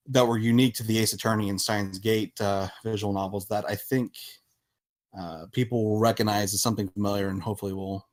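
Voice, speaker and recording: Lower-pitched man, halting interview talk. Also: a little mellow. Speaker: podcast guest, visual novel developer.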